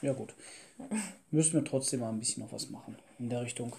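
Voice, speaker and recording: A man's voice: mid-range voice, quick pace, vocal fry, slight background noise. A man hosting a gaming video, talking casually as he plays. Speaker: gaming channel host and player. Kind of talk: casual Let's Play chat. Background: loud plucked guitar music.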